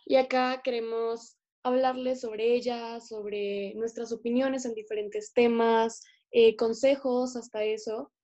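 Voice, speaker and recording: A woman's voice: a little high, flat delivery, slow pace, few pauses, dark tone, muffled recording. A young woman from Colombia co-hosting a casual podcast, speaking earnestly with many fillers over a remote call. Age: young adult. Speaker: podcast co-host. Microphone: remote call recording.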